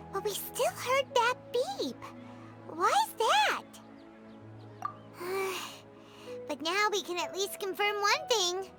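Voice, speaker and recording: A tiny floating fairy girl from an anime fantasy game, naive and excitable. The voice is extremely high, squeaky and childlike, swinging widely in pitch. Loud game music plays underneath. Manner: speaks of herself in the third person, exclamatory.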